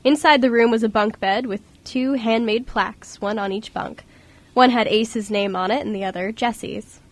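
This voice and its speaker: Woman narrator, highish voice, reading a scripted story for a homemade radio drama. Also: fairly even intonation, normal speed, no room sound.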